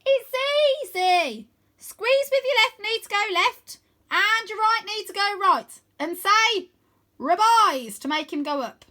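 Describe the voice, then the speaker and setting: A woman voicing a bossy, confident girl who calls out brisk instructions in a storybook. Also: very high-pitched, expressive, sharp tone, pitched above the performer's own voice, heavily acted. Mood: calling out. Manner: brisk, commanding, calling out.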